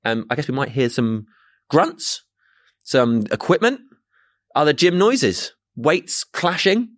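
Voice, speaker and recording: A man's voice: fairly high pitch, sing-song, slow, fairly bright tone, no echo, studio quality. A man co-hosting a podcast in casual, joking chat, recorded in a room at a training ground beside a gym. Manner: chatty, joking, self-deprecating. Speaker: podcast co-host.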